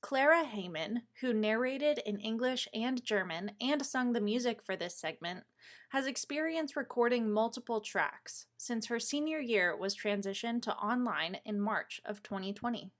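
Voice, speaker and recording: A woman reading scripted narration in a measured, explanatory way, introducing material for an audience. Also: on the high side, expressive.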